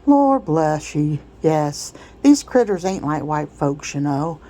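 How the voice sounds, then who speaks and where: A woman's voice: low voice, sing-song, slow, warm tone, exaggerated voice. Woman voicing a pushy, folksy male slave trader. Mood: smooth persuasion. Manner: folksy rustic dialect, blustering and familiar. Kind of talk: storybook read-aloud character.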